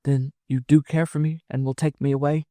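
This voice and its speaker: A male narrator playing a tender young heroine of a frontier storybook. The voice is lifted higher, soft and hesitant, pleading anxiously with a lover in a dry recording. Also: level tone, fairly quick, dry recording, close to an everyday voice. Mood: anxious, torn. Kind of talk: audiobook character dialogue.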